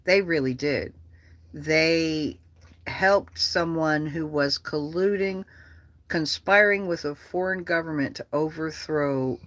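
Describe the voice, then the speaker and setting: A livestream host chatting casually and rambling, with an animated, profane manner and a habit of repeating phrases. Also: mid-range voice, takes it slowly, no echo.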